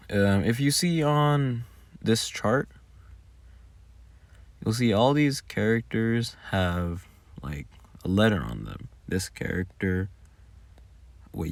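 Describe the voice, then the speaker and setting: Deep-voiced man, slow and creaky. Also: lively intonation, no room sound. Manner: rambling, informal. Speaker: audio roleplay creator. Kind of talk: casual behind-the-scenes commentary.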